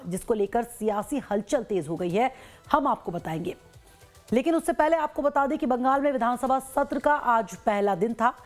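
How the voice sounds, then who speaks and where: A woman reading scripted news as an anchor. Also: a little high.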